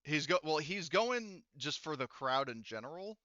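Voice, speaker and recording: Bright, clear-voiced man running a game. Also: fairly high pitch, very animated, no echo, muffled recording. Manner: halting, improvised delivery. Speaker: game master. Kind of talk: casual tabletop game narration.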